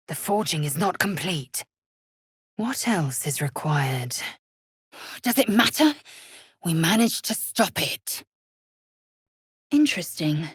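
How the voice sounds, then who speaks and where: A woman voicing a fierce, proud alien warrior in a video game, speaking with a husky rasp in a haughty, clipped, commanding and formal manner. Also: deep voice, no room sound, studio quality, full character voice. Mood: wary, clipped. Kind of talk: video game character voice acting.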